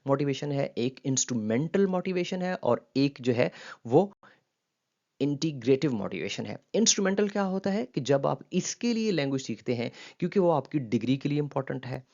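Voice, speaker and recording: A man from Pakistan with a Pakistani accent, explaining a linguistics textbook chapter as a lecturer, mixing English terms into his Urdu and pausing often on fillers. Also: mid-range voice, expressive, vocal fry, mellow, dull audio.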